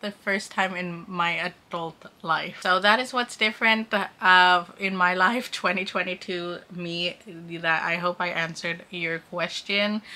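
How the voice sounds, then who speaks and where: A woman's voice: fairly low pitch, sharp tone. A woman in her thirties chatting casually to camera in a vlog, giggly and filling her talk with "like". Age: 30s. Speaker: vlogger.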